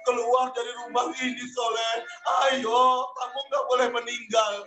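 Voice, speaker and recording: A man's voice: very high voice, little pitch movement, unhurried. A man voicing a remorseful young boy who pleads, sobs and cries out prayers over his dying friend. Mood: sobbing. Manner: pleading, crying out, shouting prayers. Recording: echoey room, faint music.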